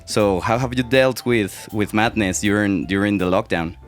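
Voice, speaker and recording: A man's voice: fairly low pitch, moderate pace, soft background music. A man co-hosting a radio interview, asking long, rambling questions packed with fillers.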